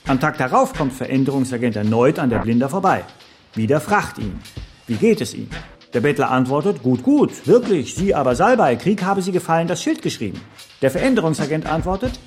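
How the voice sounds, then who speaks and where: Faint music runs under a male pitch trainer in a radio drama. His melody is lively and swings widely. He lectures earnestly and rhetorically, like a seminar presenter. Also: a little fast. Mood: storytelling. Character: earnest pitch trainer telling motivational parables.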